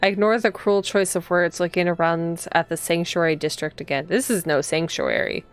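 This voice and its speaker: A woman reading as an earnest, uneasy temple police officer who narrates a visual novel in a measured way. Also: fairly low pitch, fairly even intonation, clear, resonant tone, faint music behind, natural voice. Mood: somber.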